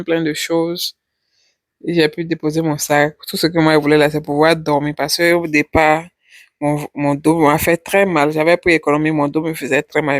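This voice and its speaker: Chatty woman rambling in a flat voice. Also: low-pitched, no room sound, studio quality. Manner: chatty and rambling, repeats words. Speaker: travel vlogger. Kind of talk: casual vlog voice-over.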